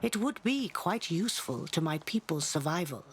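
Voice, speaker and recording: A woman's voice: fairly low pitch, very animated, moderate pace, a little hiss or hum. A woman playing a proud, mysterious elder seer of travelling folk in a fantasy game, speaking in a haughty, portentous way. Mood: ominous, proud. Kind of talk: fantasy game dialogue acting.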